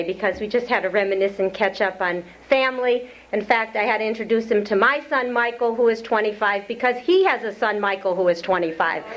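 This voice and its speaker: Woman introducing a speaker on old tape. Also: fairly high pitch. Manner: warm personal asides before reading credentials. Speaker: event host introducing a speaker. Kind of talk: formal introduction. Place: community center hall. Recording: lo-fi 1992 lecture tape.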